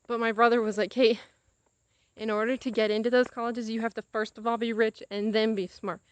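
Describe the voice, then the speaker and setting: Young female vlogger, slightly high voice, candid and animated storytelling, muffled camera audio. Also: a little fast, no echo. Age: young adult. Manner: animated, acts out quoted conversations. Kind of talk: casual confessional chat to camera.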